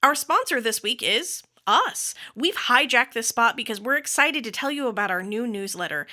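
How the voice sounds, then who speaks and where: A woman's voice: on the high side, animated, moderate pace, sharp tone. A woman hosting a podcast, reading scripted announcements. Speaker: podcast host.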